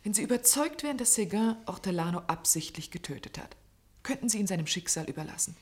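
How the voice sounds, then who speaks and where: A woman's voice: mid-range voice, animated, quick pace, lightly acted. A woman acting an earnest, persistent character in a German radio play, speaking with insistence. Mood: urgent, pleading. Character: earnest, persistent woman pleading against an unjust conviction.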